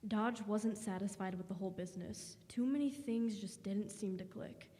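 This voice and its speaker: A woman's voice: mid-range voice, moderate pace, in a large hall. A woman reading scripted narration for a radio drama. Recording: hollow room, faint background noise.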